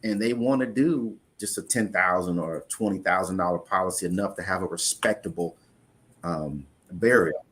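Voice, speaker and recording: A man's voice: low voice, moderate pace, a bit bright, a little hiss or hum. A man in an interview, earnestly explaining money matters in a conversational way, often restarting his sentences. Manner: earnest, explanatory, repeats words when restarting. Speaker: insurance investment guest.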